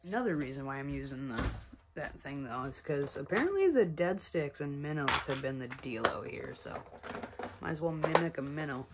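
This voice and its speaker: A young man narrating his ice fishing to the camera, excitable and quick to exclaim, recorded in a small wooden fish house. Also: on the high side, very noisy. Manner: excitable, swears and exclaims at bites. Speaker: fishing vlogger. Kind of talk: excited casual commentary.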